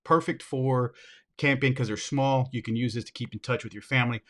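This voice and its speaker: A man's voice: mid-range voice, fairly even intonation, fairly bright tone. Upbeat man demonstrating gear on camera. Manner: upbeat, enthusiastic, addresses viewers directly; talks while handling gear, brief pauses and asides. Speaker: product reviewer. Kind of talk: hands-on product demo.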